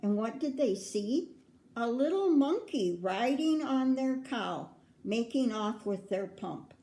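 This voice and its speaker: An older woman with an American accent reads a picture book aloud to children in a grandmotherly way, pausing to put questions to her young listeners. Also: on the high side, lively intonation, warm tone. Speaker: children's story reader. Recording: roomy, echoing indoor sound.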